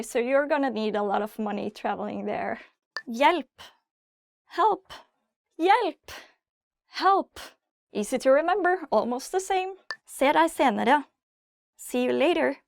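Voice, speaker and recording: Female language host, Norwegian accent, higher voice, unhurried instructional delivery with clear repeats. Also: dry recording, clean studio sound. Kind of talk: instructional phrase lesson with repeats and casual explanations.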